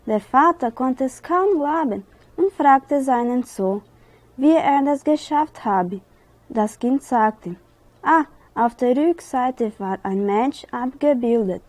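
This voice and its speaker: Woman reading a story slowly, mellow voice. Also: sits high, animated, no room sound. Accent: southern Brazilian. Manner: slow careful reading, quicker loose retelling. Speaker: interviewee. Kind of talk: reading a story aloud, then retelling it.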